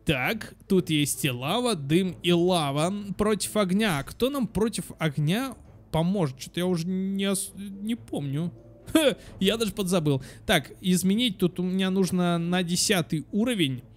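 A young man giving casual, animated let's play commentary for children, exclaiming and thinking aloud as he goes. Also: high voice, unhurried. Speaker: host of children's gaming channel. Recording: music behind. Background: game music.

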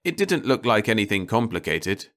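A man voicing a quiet, wistful older roadside lunchroom keeper in an audiobook story, mild and musing. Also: fairly low pitch, big pitch swings, moderate pace, voice pushed up. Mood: obliging. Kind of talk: audiobook character dialogue.

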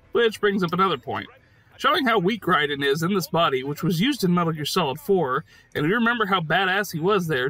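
An enthusiastic man delivers scripted game commentary in a bright, fairly high voice. He is jokey and emphatic, with very lively intonation. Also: low-quality recording.

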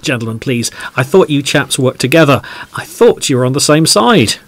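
A man's voice: medium pitch, lively intonation, normal speed, fairly bright tone. A man playing a mild podcast host in an audio drama, polite, wordy and rather formal. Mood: placating.

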